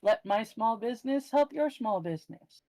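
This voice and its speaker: A woman's voice: fairly high pitch, lively intonation, moderate pace, mellow, no echo. A woman chatting casually as a panel member on a livestream. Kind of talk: casual chat.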